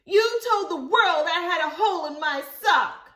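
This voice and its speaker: A woman voicing a hurt, indignant little girl in a storybook, with sharp, drawn-out emphasis. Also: extremely high pitch, animated, reverberant, pitched above the performer's own voice, full character voice.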